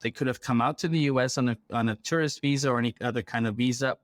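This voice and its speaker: A man from Ecuador speaks as an interview guest in a very dry-sounding space. His voice sits at a middle pitch, and he explains things conversationally, often checking in as he goes. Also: fast, no echo. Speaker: interview guest, refugee program worker.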